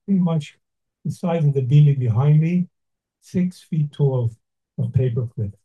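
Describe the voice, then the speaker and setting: Older New man, husky, phone-thin. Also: a little high. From Brooklyn, New York. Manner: slow, deliberate, with pauses. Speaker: speaker giving a talk. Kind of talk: measured reflective address. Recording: tinny phone-like sound.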